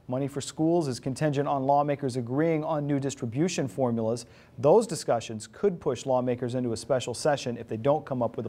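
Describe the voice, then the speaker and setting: A man's voice: medium pitch, a little mellow. A man reading scripted news as a television anchor. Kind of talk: scripted newscast delivery.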